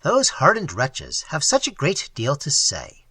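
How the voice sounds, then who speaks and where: A man's voice: a little high, wildly swooping pitch, a little slow, bright, forceful tone, slightly rough, heavily acted. A man reading a sour, portly older bishop who grumbles.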